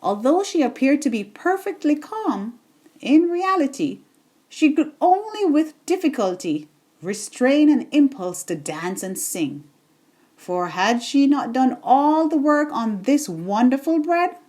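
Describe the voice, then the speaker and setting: A woman reading a children's bedtime story in an expressive, deliberate storytelling manner. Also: sits high.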